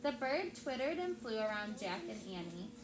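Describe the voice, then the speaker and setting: A woman's voice: fairly high pitch, very animated, normal speed. A woman teacher reading aloud expressively to a class and prompting pupils with questions. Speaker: elementary school teacher. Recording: echoey room with clear background noise.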